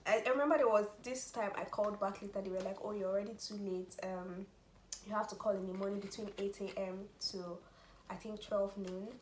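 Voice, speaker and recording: A woman's voice: mid-range voice, brisk, a little hiss or hum. Nigerian woman chatting in an echoey room. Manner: animated, laughing often, drops into Nigerian Pidgin. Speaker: vlogger, international student. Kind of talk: casual chat. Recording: echoey room with faint ambience.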